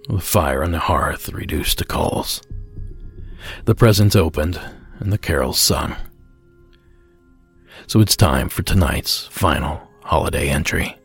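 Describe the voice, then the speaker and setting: A man with a very low, gravelly voice hosts a podcast, reading his narration in a measured storyteller's delivery. His tone is husky, with a faint music bed underneath. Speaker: podcast host.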